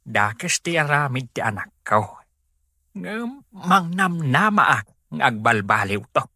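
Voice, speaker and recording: Worried, prayerful Ilocano mother for radio drama: slow, plaintive, animated pitch. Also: dry recording, studio quality, full character voice. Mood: worried, pleading. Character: anxious, prayerful mother of a wayward son.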